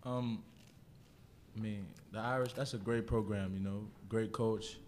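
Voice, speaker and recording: A young male college basketball player giving laid-back, halting answers into a table mic at a press conference. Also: on the low side, steady tone, darkish tone, a little hiss or hum. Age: young adult.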